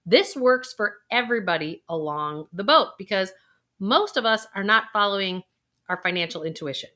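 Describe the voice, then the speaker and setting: A woman's voice: mid-range voice, animated, moderate pace, bright, forceful tone. A middle-aged woman, a financial planner, coaching the viewer through a guided intuition exercise with breathing.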